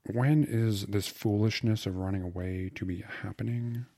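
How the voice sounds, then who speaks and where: A man voicing a careful, pompous druggist with stilted, formal and deliberate speech in an audiobook. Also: lowish voice, steady tone, mellow, no echo. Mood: measured, solemn. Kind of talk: audiobook character voice.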